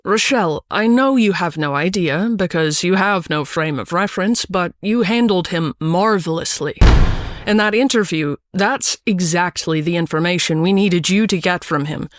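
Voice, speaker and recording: A man's voice: high-pitched, moderate pace, a bit bright, no room sound. Man playing an anxious therapist, close and dry. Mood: earnest, excited. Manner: urgent, earnest, soothing justifications. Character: anxious, placating male prison therapist. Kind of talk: dramatic audio drama acting.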